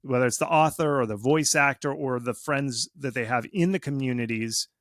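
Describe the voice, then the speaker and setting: A man giving casual, animated explanations as an expert guest in an interview, speaking informally and with emphasis. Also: mid-range voice, normal speed, dry recording, studio quality. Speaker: video game marketing expert, interview guest.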